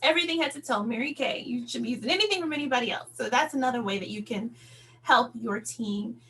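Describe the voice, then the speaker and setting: A woman's voice: on the high side, fast, a bit bright. A woman speaking as a sales director on a team call, giving a motivational talk in an encouraging, conversational and emphatic manner. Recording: noticeable background noise, hum.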